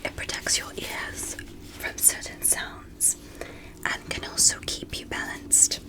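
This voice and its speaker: Woman whispering as a gentle alien doctor, very slow. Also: close to an everyday voice, low-quality recording. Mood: calm, attentive. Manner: slow, soothing, formally polite, encouraging. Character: gentle, curious female alien doctor examining a human. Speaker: ASMR performer in a medical roleplay. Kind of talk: soft whispered ASMR roleplay.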